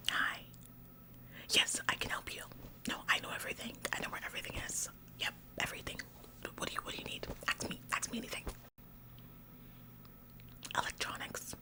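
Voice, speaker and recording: Female performer as self-important female store clerk, ASMR roleplay. Whispered, smug, nagging, halting and repetitive. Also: bright, forceful tone, rough recording. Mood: eager, chirpy. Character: bossy, officious female store employee, smug tattletale.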